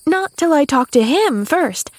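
Woman as a high, earnest young girl. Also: animated, a little slow, darkish tone, no echo. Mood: eager, thoughtful. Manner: earnest, guileless, breathless chatter. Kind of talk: audiobook character voice.

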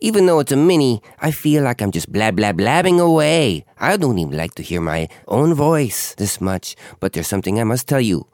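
A man's voice: mid-range voice, sing-song, moderate pace, hardly any pauses, darkish tone, no echo, clean studio sound. A man hosting a podcast with a scratchy voice, rambling and joking at his own expense. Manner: chatty, joking, self-mocking. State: hoarse, scratchy voice. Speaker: podcast host. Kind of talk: casual rambling monologue.

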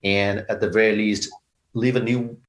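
A man from Bangladesh speaks as an interview guest, his voice sitting on the low side. He talks thoughtfully, breaking ideas into steps and now and then repeating a word as he thinks. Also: fairly even intonation, normal speed, a bit bright. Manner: reflective, explains in steps, repeats words. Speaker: company founder, guest. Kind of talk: interview conversation.

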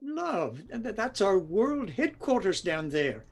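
Husky, stammering husband. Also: male voice, high voice, sing-song, takes it slowly, heavily acted. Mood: defensive, placating. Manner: stammering, appeasing. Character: placating husband, hapless small-time investor. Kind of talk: comedy audio drama acting.

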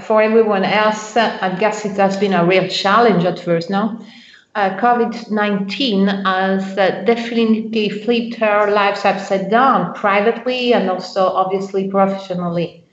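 A woman working as a bank's culture director answers interview questions hesitantly, often pausing to find her words. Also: mid-range voice. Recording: roomy, dull lo-fi sound.

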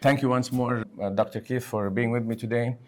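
A man from Lebanon hosting a conversational medical podcast as a physician, speaking in halting, emphatic bursts. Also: medium pitch, fairly even intonation, noisy background. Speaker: podcast host, physician and section head.

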